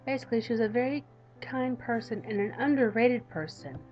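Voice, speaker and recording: A woman's voice: a little high, lively intonation, brisk, lo-fi sound, soft background music. A woman gaming YouTuber reading a visual novel aloud, with casual commentary to viewers.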